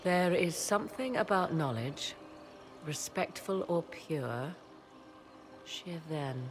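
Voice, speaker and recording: A woman's voice: low voice, animated, slow, noisy background. A woman playing an aloof, scholarly sorceress in a video game, speaking in a formal, theatrical and sardonic manner. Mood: intrigued. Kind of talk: dramatic video game acting.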